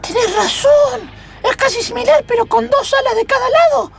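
A woman's voice: moderate pace, vocal fry. A woman voicing an eager, earnest little boy explorer in an improvised radio play, with a childlike voice. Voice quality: childlike, squeaky. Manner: eager, pleading, precocious.

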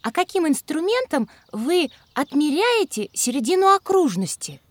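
A woman's voice: high-pitched, sing-song, moderate pace, no echo. A woman playing an inquisitive, polite young schoolgirl in an educational audio drama. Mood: inquiring. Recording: clearly noisy, birdsong behind. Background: birdsong, outdoor sounds.

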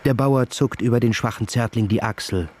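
A man with a raspy voice giving formal, deliberate literary narration in a dramatic radio play. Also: mid-range voice, a little mellow, slight background noise. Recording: studio radio play with music underneath.

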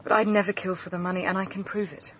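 An actress playing an intense, determined young woman in love in a radio drama, earnest and intimate, sometimes hushed. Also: mid-range voice, little pitch movement, fast talker, a little hiss or hum, lightly acted. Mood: pleading, resolute.

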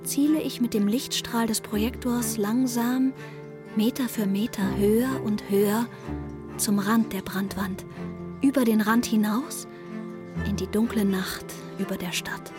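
Actress as introspective young woman over soft music. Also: a little high, steady tone, a little slow, close to an everyday voice. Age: 20s. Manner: intimate, reflective inner monologue. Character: introspective, restless young Berlin woman in her twenties. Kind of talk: radio drama first-person narration.

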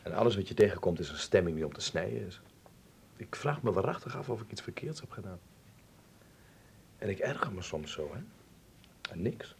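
An actor playing an earnest, eager young Dutchman in a radio drama, expressive and a little hesitant. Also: low voice, fairly quick, gravelly, pauses often, slight background noise. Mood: brooding.

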